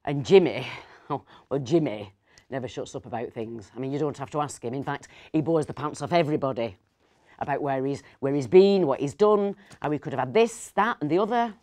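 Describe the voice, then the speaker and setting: A woman's voice: rumbling low, brisk, warm tone. A middle-aged woman, playing a fussy, kindly, rambling Christmas dinner host in a storytelling monologue. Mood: exasperated, fond. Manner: hesitant, digressive, self-correcting. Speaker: actor reading a story. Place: indoors, seated in an armchair.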